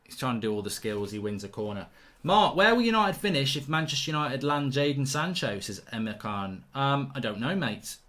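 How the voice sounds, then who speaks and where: A man's voice: medium pitch, big pitch swings, moderate pace, few pauses, fairly bright tone. A man hosting a live football watchalong. He reacts to the play, reads out viewer messages and talks in a blunt, chatty way with mild swearing. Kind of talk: live match watchalong commentary reading viewer chat.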